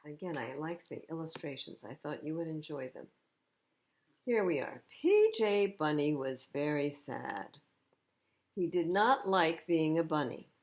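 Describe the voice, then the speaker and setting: A woman's voice: sits low, a little mellow. A woman reading a picture book aloud to young children, expressively, with explanatory asides about the pictures. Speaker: children's storytime reader.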